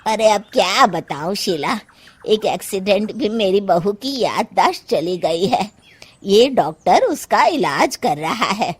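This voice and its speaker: A woman's voice: mid-range voice, animated, slow pace, husky. A woman playing an exasperated, scheming older mother-in-law with a scolding, sarcastic manner in a comic story. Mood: worried. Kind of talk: animated comic story dialogue.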